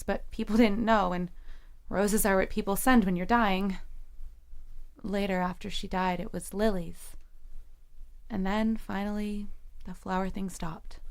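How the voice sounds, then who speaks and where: A woman's voice: mid-range voice, creaky. An actress playing a defensive young woman in therapy, guarded and sarcastic, in an audio drama.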